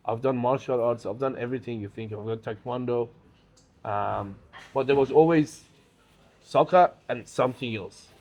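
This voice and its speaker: Chatty Iran-born man, relaxed. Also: medium pitch, moderate pace. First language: Farsi. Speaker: bodybuilder. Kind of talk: casual sit-down interview.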